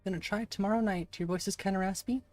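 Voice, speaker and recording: A streamer with a slightly raspy voice chats easily with viewers, reacting aloud and answering questions between moments of play. The recording is close and dry, with faint music underneath. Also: medium pitch, steady tone, fast, darkish tone, no echo. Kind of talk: casual live-stream chat while gaming. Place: home room.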